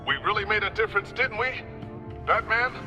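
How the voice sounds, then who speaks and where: An actor playing a desperate, tender dying man in a video game, urgent and halting. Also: high voice, dull audio, over music, lightly acted. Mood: anguished farewell. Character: dying male father, desperate, tender, loyal friend.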